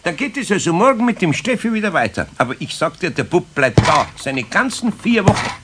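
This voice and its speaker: An actor playing a grumbling, soft-hearted older master carpenter in a children's radio play, with a Bavarian accent and a husky voice. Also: male voice, high voice, very animated, a little fast, noisy background. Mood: gruffly relenting. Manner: grumbling, scolding, down-to-earth.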